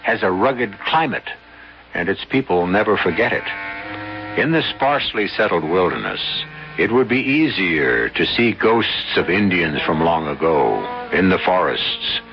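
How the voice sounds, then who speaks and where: A man hosting and narrating a radio mystery in a measured, formal, theatrical style. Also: low-pitched, very animated, background noise. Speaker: host and narrator. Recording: muffled vintage radio recording.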